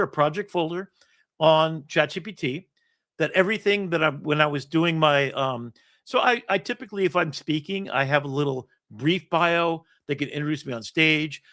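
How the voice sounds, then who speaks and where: A man's voice: a little high, a bit bright. A man in his sixties hosting a show, casually walking through a screen-shared document in a rambling, self-deprecating way with the odd curse. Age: 60s. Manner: rambling, self-deprecating, swears now and then. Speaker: host. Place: home setup with an iPad.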